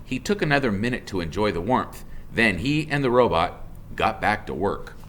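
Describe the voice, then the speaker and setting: A man's voice: lowish voice, very animated, normal speed, a bit bright, a little hiss or hum. A man narrating a children's storybook aloud in the role of a teacher.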